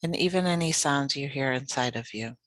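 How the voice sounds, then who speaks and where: A yoga nidra guide leading a guided meditation in a gentle, soothing, unhurried manner. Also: mid-range voice, dry recording.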